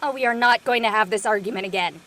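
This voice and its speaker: An actress playing a brash, outspoken teenage girl in a casual, slangy, expressive drama performance. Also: mid-range voice, fast talker, close to an everyday voice. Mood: brash, excited. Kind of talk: casual scripted drama dialogue.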